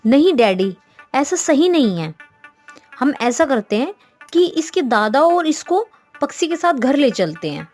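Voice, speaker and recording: Kind-hearted young heiress, voiced by a woman: high, animated, earnest, chatty, storybook with music bed. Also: measured pace. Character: rich businessman's sweet, kind-hearted, chatty young daughter.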